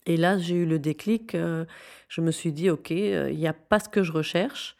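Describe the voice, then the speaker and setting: The lowish-voiced woman guest on an interview show, earnestly pressing her points home. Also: normal speed, vocal fry, darkish tone. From Syria. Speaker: interview guest, founder of a youth non-profit. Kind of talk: conversational interview.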